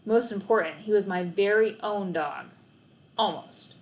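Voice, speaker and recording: A woman reads a children's story aloud in scripted, expressive narration. Her pitch sits in the middle range for a woman. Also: unhurried.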